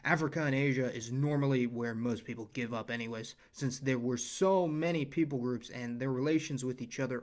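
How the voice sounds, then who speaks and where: A young adult man narrating his own educational video, with earnest explanations and personal asides. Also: mid-range voice. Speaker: channel creator and narrator. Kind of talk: scripted educational voice-over.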